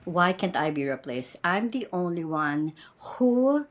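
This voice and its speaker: A woman's voice: lowish voice, big pitch swings, a little slow. A woman in her fifties from the Philippines with a Filipino accent, speaking English as a second language, talking earnestly as a podcast guest and often restarting her phrases. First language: not English. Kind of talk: interview conversation.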